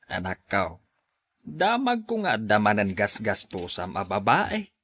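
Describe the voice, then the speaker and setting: Man playing a raspy, animated older father. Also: on the low side, unhurried, dry recording, heavily acted. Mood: advising. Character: blunt, fatherly older man advising his son. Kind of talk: Ilocano radio drama acting.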